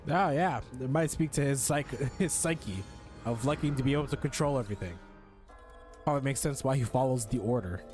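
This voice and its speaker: A man with a medium-pitched voice chats casually through his gameplay. He reacts and reasons aloud like a streamer, with faint game music behind him. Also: fairly quick, darkish tone.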